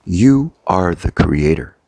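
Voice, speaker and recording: Really low slow-talking guy doing a guided meditation, husky and gravelly, lots of pauses. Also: sing-song, darkish tone, no room sound. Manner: slow, deliberate, with long pauses between short phrases. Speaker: meditation coach. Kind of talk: guided meditation, soft motivational talk.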